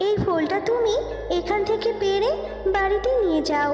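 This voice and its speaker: A woman's voice: extremely high pitch, moderate pace, darkish tone, reverberant, big-room sound, recorded from a distance, faint music behind. A woman voicing a kindly, soothing magic tree in a children's cartoon story. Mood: caring, resolved.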